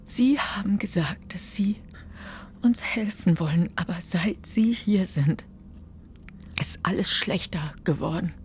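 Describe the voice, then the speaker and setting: A woman playing an anxious, guarded farmer's wife in a radio drama, speaking in a hushed, breathy, urgent way. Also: fairly low pitch, takes it slowly, in a whisper. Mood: desperate, imploring.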